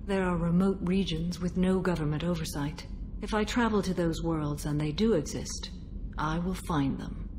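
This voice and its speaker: Lady doing a serious stoic space warrior mom, game dialogue, deepish voice, noisy room tone behind. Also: natural voice. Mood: stern, matter-of-fact. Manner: measured, formal, grave. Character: solemn, dutiful alien warrior mother, stoic and composed. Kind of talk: video game dialogue acting.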